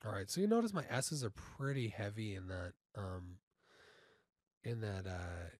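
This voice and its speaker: Low, husky man giving a tutorial. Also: expressive, darkish tone, dry recording, studio quality. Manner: halting, often checking in with okay. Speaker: tutorial instructor and voice actor. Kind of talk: informal software tutorial, explaining step by step. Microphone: close studio mic through audio interface.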